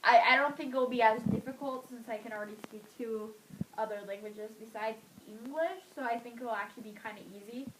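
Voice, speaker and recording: A woman's voice: on the high side, brisk, a little hiss or hum, low-quality recording. A teenage girl answering interview questions casually, with lots of fillers, trailing off at the ends of her thoughts. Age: teens. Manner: chatty, filler-heavy, trailing off.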